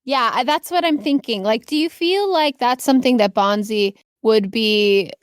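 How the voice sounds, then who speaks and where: A woman with a fairly high voice runs a tabletop game. She narrates casually and off the cuff, with encouraging, slangy turns, in a very dry recording. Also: normal speed, clear, resonant tone, dry recording. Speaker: game master.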